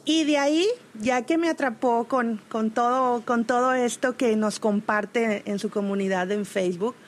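A woman hosting a podcast, introducing her guest in an admiring, somewhat halting way, often restarting her phrases. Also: a little high. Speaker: podcast host.

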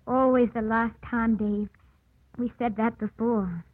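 Female, tender restrained leading lady, slightly high yet husky, muffled old radio broadcast. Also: steady tone, measured pace, no echo. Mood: torn, tender. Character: caring, restrained woman in forbidden love. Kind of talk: 1950s radio drama acting.

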